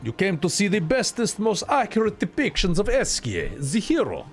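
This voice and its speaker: A grandiose storyteller with a rough attempt at a Russian accent, acted by a man. The pitch is high and animated, the delivery proud and theatrical, with music underneath. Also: normal speed, exaggerated voice. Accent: mock Russian.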